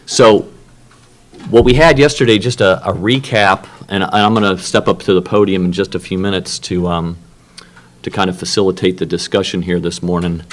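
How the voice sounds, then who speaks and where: A man's voice: deep voice, steady tone, moderate pace. A man chairing a technical workshop in a conference room, opening the session with many fillers and restarts. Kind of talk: opening remarks at a technical meeting.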